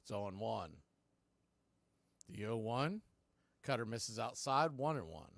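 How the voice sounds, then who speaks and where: A man calling live baseball play-by-play in an excitable, folksy radio style. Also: medium pitch, unhurried, gravelly, no echo. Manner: excitable, folksy exclamations on big plays.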